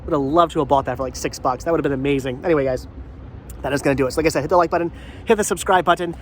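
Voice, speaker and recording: A man's voice: a little high, very fast, a little hiss or hum. A middle-aged man with a congested voice chats casually to viewers as a vlog host, rambling and repeating his phrases. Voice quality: congested with a head cold.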